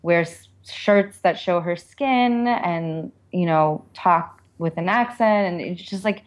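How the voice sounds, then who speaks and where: A woman's voice: lowish voice, slow. A woman author being interviewed, speaking candidly and informally in a reflective way, with lots of "like" and "you know".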